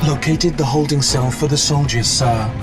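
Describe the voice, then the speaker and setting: Over loud music, a man performs a game's AI butler for an armored hero. He is formal and measured, reporting with calm deference at a middling pitch. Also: natural voice. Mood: calm, dutiful. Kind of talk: scripted video game acting.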